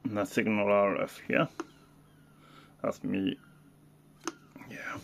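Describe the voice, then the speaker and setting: A man giving a casual, unscripted product demonstration as a hobbyist reviewer, halting and thinking aloud as he goes. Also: fairly low pitch, steady tone, creaky, a bit bright, slight background noise.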